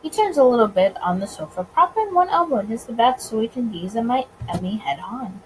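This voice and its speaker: A woman narrates a visual novel as a let's player in a high, lively voice. She reads expressively and now and then trips over a word, with clear background noise. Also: fairly quick, low-quality recording. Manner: stumbles over words and rereads them. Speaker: video game let's player.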